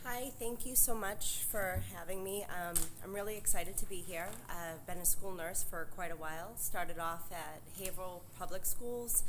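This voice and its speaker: Chatty nurse, low voice, noisy room. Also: female voice, normal speed, few pauses. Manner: chatty, excited. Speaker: school nurse. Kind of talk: formal self-introduction at a meeting.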